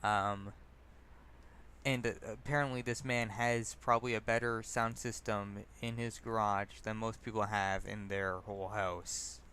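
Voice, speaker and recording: A man's voice: on the low side, steady tone, unhurried, sharp tone, slight background noise. A man reading his own personal essay aloud as narrator, stumbling over words and correcting himself. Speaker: narrator and author.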